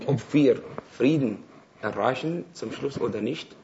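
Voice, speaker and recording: A man's voice: medium pitch, measured pace, highs cut off, background noise. A man from Palestine whose first language is Arabic, speaking German as a guest speaker answering audience questions, hesitant, with many fillers and restarts. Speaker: invited speaker.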